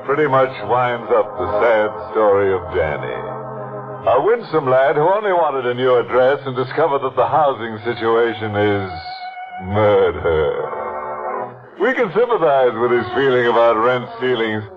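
An actor playing a gleefully macabre, tongue-in-cheek host of a 1940s radio mystery show, wry and mock-sympathetic. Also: male voice, mid-range voice, very animated, measured pace. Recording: tinny, narrow old radio broadcast. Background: music.

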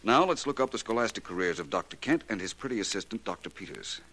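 An actor playing a shrewd, courteous, self-assured detective with polished, probing delivery in a 1940s radio drama. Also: male voice, lowish voice, lively intonation, fast talker, a bit bright. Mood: absorbed, methodical.

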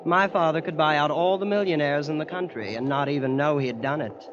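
A man's voice: fairly high pitch, a little fast, noisy background, natural voice. An actor playing a boastful, nonchalant rich young man, polished and self-assured, in 1940s radio drama style. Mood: smug. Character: boastful, nonchalant rich prep school boy. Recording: dull old radio recording with music.

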